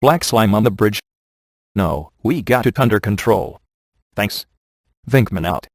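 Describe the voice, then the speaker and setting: Low-voiced deadpan wisecracking ghost hunter. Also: male voice, a little slow, no echo, clean studio sound. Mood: wry, casual. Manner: deadpan, wry, casual. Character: sardonic wisecracking male ghost hunter, laid-back. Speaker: video game voice actor. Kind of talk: scripted game dialogue, dry wisecracks.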